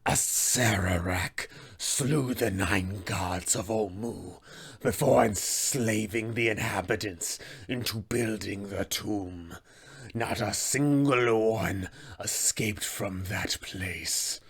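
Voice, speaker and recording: A slow, menacing serpent sorcerer warlord. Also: male voice, on the low side, creaky, breathy edge, pitched below the performer's own voice, full character voice. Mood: weary, grave. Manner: slow, grandiose, drawn-out menace. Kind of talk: villain voice for tabletop fantasy game.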